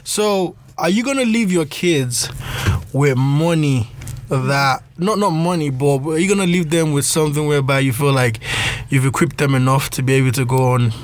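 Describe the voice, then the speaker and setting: A man's voice: on the high side, normal speed. A man hosting a casual group chat, steering with probing questions and teasing jokes.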